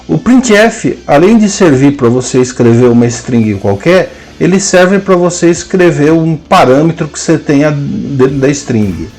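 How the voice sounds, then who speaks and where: A man with a Brazilian Portuguese accent teaching a programming lesson, dictating code symbol by symbol with hesitant pauses. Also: a little high, expressive, darkish tone, soft background music. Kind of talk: slow screen-cast coding lesson, dictating code aloud.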